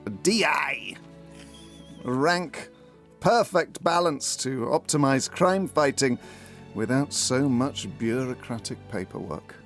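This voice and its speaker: An actor playing an eccentric detective inspector in a comic audio drama, pompous and given to sentimental storytelling. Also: male voice, medium pitch, animated, slow pace, gravelly, fairly bright tone, faint music behind, exaggerated voice. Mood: wistful reminiscing.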